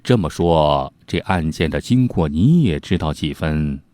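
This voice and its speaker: A man storyteller voicing a curious, authoritative magistrate of imperial China, measured and official. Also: very deep voice, lively intonation, mellow, dry recording, pitched below the performer's own voice.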